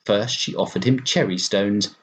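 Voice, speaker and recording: A man reading a children's story aloud as a storyteller, in an animated narrating style. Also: on the low side, moderate pace, rough recording.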